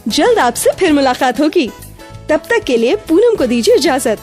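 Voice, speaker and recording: Female Indian radio presenter, high and very varied in pitch, playful scripted address over light music. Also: big pitch swings, moderate pace, darkish tone. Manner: addresses listeners directly, playful rhetorical questions. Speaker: radio show host.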